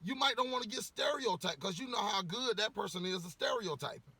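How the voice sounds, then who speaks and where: A man ranting casually to camera, a little hoarse from losing his voice, rambling with lots of "you know" and swearing bluntly. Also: high-pitched, animated, fairly quick, bright, forceful tone, dry recording. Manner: rambling, repeats words, frequent "you know". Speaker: vlogger giving opinions.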